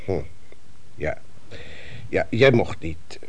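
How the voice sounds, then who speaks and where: An actor playing a fatherly but sceptical family doctor in a Dutch radio play, questioning deliberately with thoughtful hums. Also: sits low, expressive, darkish tone, husky, heavily acted.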